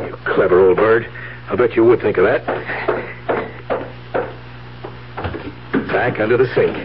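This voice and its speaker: An actor playing a greedy, calculating nephew who feigns grief in a 1970s radio drama. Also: male voice, medium pitch, natural voice. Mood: gloating, muttering. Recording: noisy, muffled 1970s radio recording.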